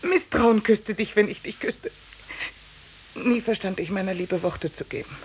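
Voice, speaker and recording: An actress playing a grieving, remorseful wife, solemn and fervent, in 1950s German radio drama style. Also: female voice, medium pitch, a little slow, a little hiss or hum, close to an everyday voice. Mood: regretful.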